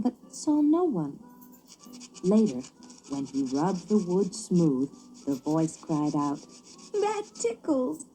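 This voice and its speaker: A woman reading a children's story as scripted narration, with an expressive, theatrical delivery. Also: fairly low pitch, rough recording.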